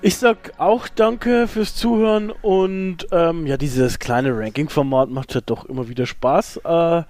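A man's voice: a little high, measured pace, a little mellow, soft background music. A man co-hosting a podcast in informal chat, speaking in short bursts with joking asides. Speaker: podcast co-host.

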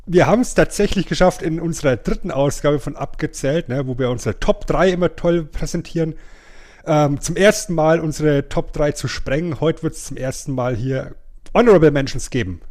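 A man's voice: fairly high pitch, normal speed, a little mellow. A middle-aged man co-hosting a podcast, chatting casually with a wry, self-deprecating manner. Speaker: podcast co-host. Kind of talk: casual chat.

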